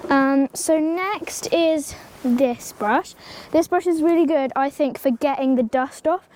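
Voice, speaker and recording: A woman's voice: high-pitched, takes it slowly, darkish tone. Chatty teen girl with a cold. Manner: chatty, rambling, many ums and likes, talks to the pony. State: has a slight cold. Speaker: horse-care vlogger. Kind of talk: casual how-to tutorial. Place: outdoor stable yard beside a pony.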